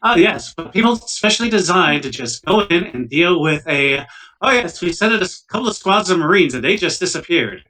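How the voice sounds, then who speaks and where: A man's voice: high voice, lively intonation, fairly quick, pushed and bright. A man playing an uneasy, wry civilian scientist in a tabletop roleplaying game, speaking in a hesitant, wordy, sardonic way. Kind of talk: tabletop roleplaying, improvised dialogue. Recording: distant mic, poor laptop recording.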